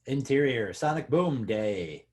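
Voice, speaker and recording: A man's voice: mid-range voice, animated, unhurried, husky. A man reading out short scene headings as scripted narration in a table read.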